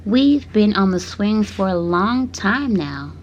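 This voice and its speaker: A woman's voice: mid-range voice, lively intonation, slow pace, a little hiss or hum. A woman voicing an earnest, somewhat whiny little boy bear cub in a storybook read aloud. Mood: polite, brave. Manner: complaining, questioning.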